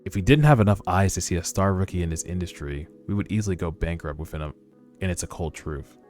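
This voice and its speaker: A man voicing a polite, businesslike corporate recruiter in a measured, formal way for a webtoon read-aloud. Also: low voice, a little mellow, lightly acted. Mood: measured, courteous. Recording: background music under voice.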